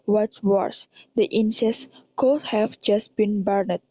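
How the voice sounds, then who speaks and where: A woman's voice: medium pitch, steady tone, takes it slowly, dark tone, no echo. A young woman with an Indonesian accent reading scripted horror drama narration in non-native English. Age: young adult.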